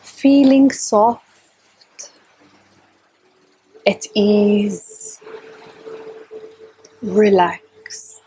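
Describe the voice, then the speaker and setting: A woman leading a guided meditation in a calm, gentle, soft and breathy voice, with long unhurried pauses between short phrases. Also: medium pitch, no room sound, low-quality recording. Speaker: meditation teacher. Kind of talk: guided meditation, slow and instructional.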